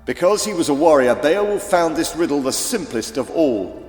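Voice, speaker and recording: A man narrating a children's audiobook as dramatic, deliberate, theatrical storytelling. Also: fairly high pitch, lively intonation, darkish tone. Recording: echoey reverb with faint music.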